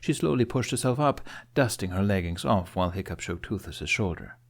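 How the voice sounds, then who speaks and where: Low-voiced man narrating an audiobook. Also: animated, a little fast, creaky. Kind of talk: scripted fantasy narration.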